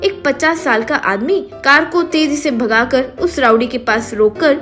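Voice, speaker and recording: A woman reading scripted narration for an animated children's moral story. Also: sits high, unhurried, faint music behind.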